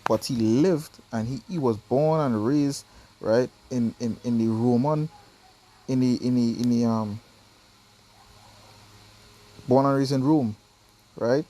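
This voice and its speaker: A man's voice: mid-range voice, takes it slowly, pauses often, mellow. A man from Trinidad with a Trinidadian accent, reading Bible verses aloud and explaining them in a casual teaching style that keeps checking in with "right?" and "you understand?".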